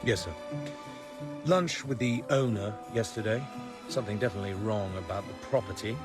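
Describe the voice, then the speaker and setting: An actor playing a cool, wry British secret agent in a radio drama, clipped, dry and understated. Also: male voice, fairly low pitch, fairly quick, close to an everyday voice. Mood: clipped, dutiful. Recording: loud music behind.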